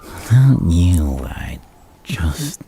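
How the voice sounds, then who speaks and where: A man playing a flirtatious, menacing, unhinged villain in a gravelly half-whisper for an ASMR roleplay, slow and teasing with murmured hums. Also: sits low, sing-song, mellow, heavily acted. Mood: obsessive, intense.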